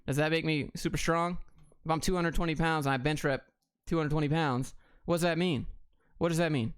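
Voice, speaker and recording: A man's voice: fairly high pitch, steady tone, fairly quick, smooth, dry recording. An American man hosting a casual livestream, reading out questions and answering them in a blunt, clipped, offhand way. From Atlanta, Georgia, United States. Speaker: livestream host.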